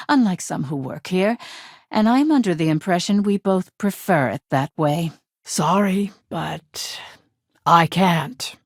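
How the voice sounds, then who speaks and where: Video game corporate attorney, female: stern, impatient, businesslike delivery, slightly low pitch, studio-dry. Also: dry recording. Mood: firm, guarded. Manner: crisp, formal, businesslike, curt. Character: brisk, stern corporate lawyer woman, guarded and impatient. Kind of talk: scripted game dialogue.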